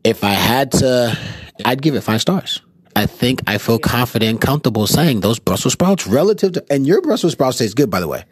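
A man's voice: mid-range voice, lively intonation, fairly quick, husky, no room sound. A man casually reviewing restaurant dishes, playful and rambling. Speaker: food review co-host. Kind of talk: casual food review chat.

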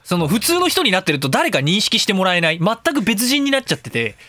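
A man's voice: high-pitched, wildly swooping pitch, fast talker, sharp tone, dry recording, clean studio sound. A man hosting a casual comedic radio talk show, rambling and self-mocking and jumping into bits and catchphrases.

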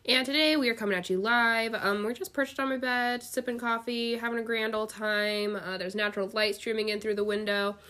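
Female podcast co-host, bright tone, congested with a head cold, casual and talkative storyteller who acts out quotes. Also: a little high, steady tone, brisk, hardly any pauses. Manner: chatty, filler-heavy, quotes people animatedly. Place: bedroom, sitting on a bed.

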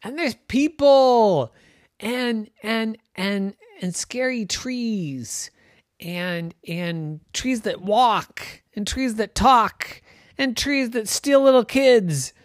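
A woman voicing a scared, excitable little girl who whines, pleads and stammers. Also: on the high side, extremely slow, no echo, clean studio sound, pitched above the performer's own voice. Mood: scared, whiny. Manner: whiny, pleading, stammering when frightened.

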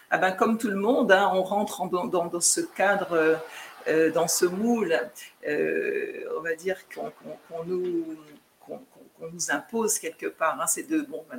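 Woman live streaming to her viewers, lots of ums and restarts, bit of fry in the voice, faint noise behind. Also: on the low side. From France. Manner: hesitant, full of fillers and restarts.

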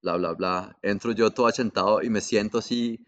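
A young Colombian man with a Colombian accent hosting a podcast, telling a travel story in a casual, profane, animated way and laughing along. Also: on the low side, normal speed, muffled recording. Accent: Colombian Spanish. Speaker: podcast host.

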